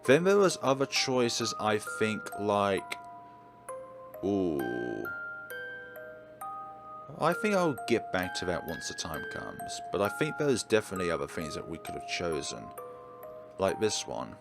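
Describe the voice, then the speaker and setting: An animated man hosts a let's play with a fairly low voice that swings widely in pitch. He muses aloud between passages of visual novel text that he reads out. Music plays clearly behind him. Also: unhurried, long pauses.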